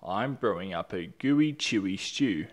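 A man's voice: deep voice, expressive, measured pace. A man voicing a cocky, gleeful, clever dingo in a storybook, boastful and given to sing-song chanting.

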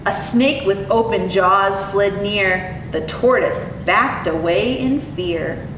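A young woman presenting as a zoo educator and reading to children in an expressive, animated way. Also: takes it slowly. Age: young adult. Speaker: zoo educator and storytime host. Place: indoor room with painted animal mural. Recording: echoey, distant mic with clear rumbling noise.

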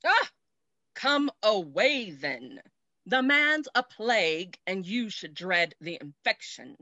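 A woman as sharp, scandalized matron, slow-paced. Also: mid-range voice, big pitch swings, no room sound, dull audio, heavily acted. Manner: dramatic, disapproving. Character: alarmed, prudish, scandalized mother of a young lady. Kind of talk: theatrical period comedy reading. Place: indoor room, video call.

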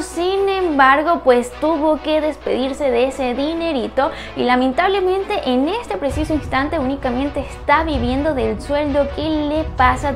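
A woman's voice: high-pitched, vocal fry. A young woman, presenting celebrity gossip news in a chatty, dramatic way with lots of fillers from a studio. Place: studio in front of a screen backdrop.

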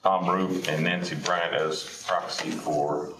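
Low-voiced guy running a county board meeting, procedural, noisy far-off room mic. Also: level tone, reverberant. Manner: measured, procedural. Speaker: board chair. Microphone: far room camera.

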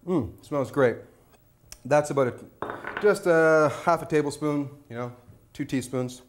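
A man's voice: fairly high pitch, a little slow, slight background noise. A man in his thirties hosting a cooking demonstration, breezy and upbeat as he talks straight to viewers. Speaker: cooking show host. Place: studio kitchen set.

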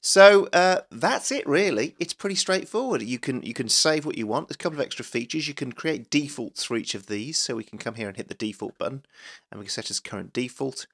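A man casually talking through a screencast product demo as a reviewer, informal and unhurried in his explaining. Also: mid-range voice, lively intonation, a bit bright. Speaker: audio software reviewer.

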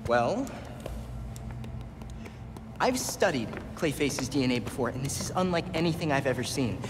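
A man's voice: mid-range voice, animated, moderate pace, lightly acted. A man voicing a thoughtful, slightly hesitant young detective hero in a game cutscene. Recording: reverberant cutscene with music.

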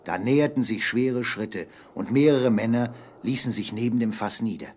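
A man's voice: medium pitch, normal speed, a little mellow, a little hiss or hum. A man narrating an adventure story in a dramatic, scripted style.

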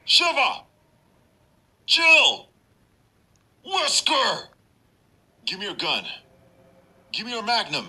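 A determined special operative from an action video game. His voice is very bright and tense, with hugely animated pitch and unhurried, terse delivery. He sounds tough and used to giving orders. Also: slightly rough, heavily acted. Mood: urgent, shouting. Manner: terse, intense, commanding.

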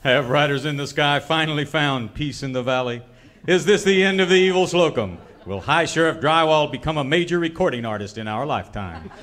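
An actor playing a grandiose announcer of an old-time radio serial, narrating in mock-dramatic cliffhanger style. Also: male voice, on the high side, expressive, a little fast, a bit bright.

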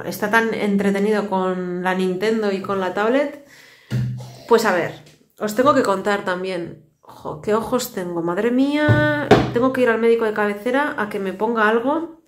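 A woman's voice: a little high, fairly bright tone. A woman in her thirties, a native Basque speaker with a Basque accent, chatting wearily and rambling into her phone camera in a home kitchen. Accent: Basque Spanish. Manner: chatty, rambling, weary asides. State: tired. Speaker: family vlogger. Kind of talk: casual vlog chat. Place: tiled home kitchen, indoors. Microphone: phone camera at arm's length. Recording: echoey tiled kitchen.

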